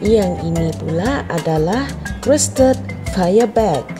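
Slow-paced Malaysian woman narrator over music. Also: medium pitch, lively intonation. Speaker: vlogger and narrator. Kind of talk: voice-over narration of a wildlife park visit for children.

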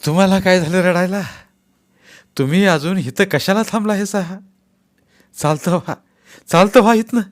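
A man doing a stubborn shouting patriarch. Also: on the high side, lively intonation, measured pace, pauses often, voice pushed up. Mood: angry. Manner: angry shouting. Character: stubborn worn-out old village patriarch.

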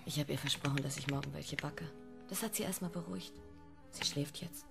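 A woman acting a haunted wife in a radio drama, speaking in a breathy, halting half-whisper. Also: low voice, loud music behind the voice. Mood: tired, subdued. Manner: hushed, halting delivery. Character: haunted wife confessing a guilty childhood trauma.

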